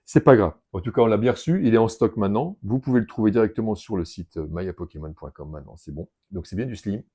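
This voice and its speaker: A man's voice: fairly low pitch, fast talker, dark tone, husky. A middle-aged man co-hosting a casual card unboxing, joking and rambling with fillers. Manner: jokey, chatty, rambling with fillers. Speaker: shop co-host opening card packs.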